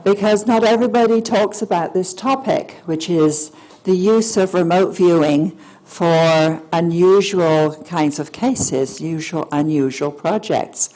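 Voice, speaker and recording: A soft-spoken woman giving a public lecture at a symposium, hesitating often with fillers and restarts. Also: lowish voice, a little slow, poor audio. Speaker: guest speaker. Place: lecture hall.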